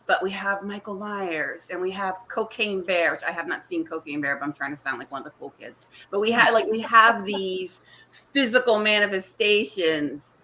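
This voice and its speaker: A woman's voice: medium pitch, moderate pace, sharp tone, slight background noise, low-quality recording. A woman horror author on a panel, talking articulately with wry asides. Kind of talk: casual panel discussion.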